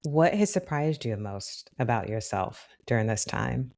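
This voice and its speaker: Curious interviewer with a lively voice. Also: mid-range voice, unhurried, gravelly. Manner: curious, asks short open questions. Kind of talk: interview questions.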